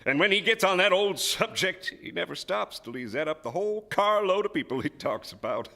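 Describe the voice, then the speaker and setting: An actor playing a folksy, chatty train conductor, spinning a yarn in colloquial speech in a live radio play. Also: male voice, high voice, expressive, brisk, pushed and bright, full character voice. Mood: amused, gossipy. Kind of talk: live radio drama acting. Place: theater stage before a live audience.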